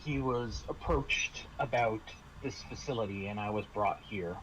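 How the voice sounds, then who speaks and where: Man speaking as a frank girl, slow-paced. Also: on the low side, fairly bright tone, pitched below the performer's own voice, rough recording. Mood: somber, confiding. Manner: plain, halting. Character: sick young girl, a senator's daughter, frank. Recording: noticeable background noise.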